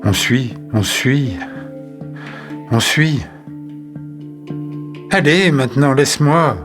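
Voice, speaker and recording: Guy doing a worn out half mad sculptor, intense, mid pitched, podcast drama w music behind. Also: animated, slow. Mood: dismissive. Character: Amine, obsessed, exhausted male sculptor on the edge of madness. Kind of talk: French fiction podcast acting.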